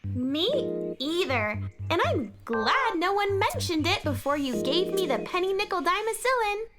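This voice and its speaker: A woman's voice: extremely high pitch, very animated, unhurried, heavily acted. A woman playing a plucky, eager young girl space adventurer in a children's radio play, earnest and exclamatory. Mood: relieved. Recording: loud music behind voice.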